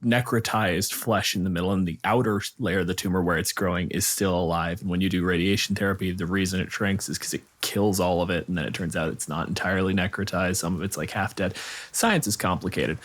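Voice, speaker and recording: A man's voice: low-pitched, fairly even intonation, a little fast, a bit bright. A man co-hosting a talk show, explaining things in casual conversation. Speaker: podcast co-host. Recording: clear background noise.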